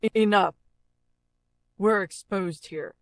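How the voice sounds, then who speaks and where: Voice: slow pace, no echo. An actor voicing a grim fugitive character in terse, dramatic game-style warnings, processed with cyborg-style voice effects. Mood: grim, urgent. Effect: pitch and robotic character voice effects being demonstrated. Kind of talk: short scripted dramatic character lines, played as a looping vocal sample.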